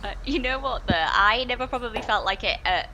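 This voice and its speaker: A young woman with a soft Yorkshire accent, chatting earnestly on a podcast, circling back and restarting her thoughts as she goes. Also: fairly high pitch, expressive, a little fast, slight background noise, low-quality recording. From Yorkshire, England. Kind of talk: casual discussion.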